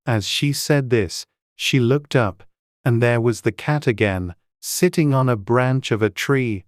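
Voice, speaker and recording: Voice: medium pitch, unhurried, dry recording, clean studio sound. A storyteller reading scripted narration for a dramatized audiobook. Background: faint music.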